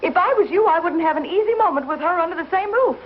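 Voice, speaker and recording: A fussy, disapproving small-town wife, high and swooping in pitch and quick to scold. The delivery is melodramatic 1950s TV Western acting, on a muffled soundtrack with clear noise. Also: female voice, animated. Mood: alarmed, gossipy.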